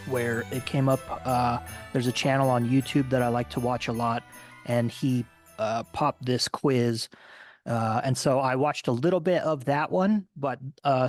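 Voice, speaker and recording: A man hosting a casual nutrition podcast as a coach, upbeat and explaining with many fillers. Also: mid-range voice.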